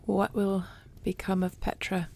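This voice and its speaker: A woman's voice: medium pitch, little pitch movement, measured pace, vocal fry, a little mellow, slight background noise. A woman playing a devoted dragon queen and wife, fierce yet tender, speaking formally and intimately in an audio roleplay. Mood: composed, caring. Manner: intimate, formal storybook speech.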